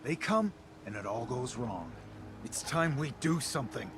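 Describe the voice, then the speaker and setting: A man playing a bitter, hot-headed gun seller in a video game, with a combative, gruff and blunt manner. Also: medium pitch, sing-song, moderate pace, noisy background. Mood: angry, defiant. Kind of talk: video game dialogue acting.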